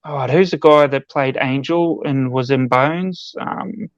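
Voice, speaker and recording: A man's voice: mid-range voice, slow pace, no echo, lo-fi sound. A man co-hosting a podcast, airing his gripes and opinions in a casual, conversational way. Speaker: podcast co-host. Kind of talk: casual panel discussion.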